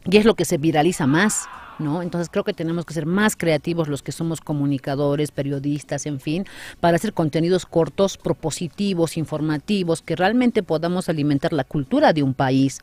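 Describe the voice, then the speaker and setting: A woman in her forties, a councillor interviewed in a small radio studio, explaining her views earnestly and with emphasis on a boom-arm mic. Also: fairly quick, a little mellow. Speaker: guest, city councillor.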